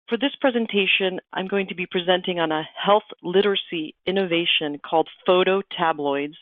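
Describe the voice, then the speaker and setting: A woman's voice: on the low side, dry recording. A woman from Argentina whose first language is Spanish gives a webinar presentation, explaining steadily and calling for the next slide. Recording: tinny phone-line audio, dry.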